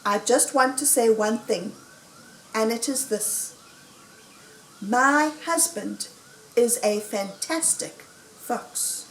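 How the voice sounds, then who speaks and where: A woman voicing a shy, adoring female fox in a storybook, modest, tender and halting. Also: a little high, fairly bright tone, reverberant, a little hiss or hum, rough recording.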